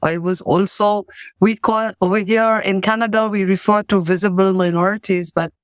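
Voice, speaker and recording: A woman's voice: mid-range voice, steady tone, moderate pace, no echo, studio quality. A woman from Pakistan whose first language is English, a certified coach telling her story earnestly in an interview over a video call. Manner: earnest, reflective.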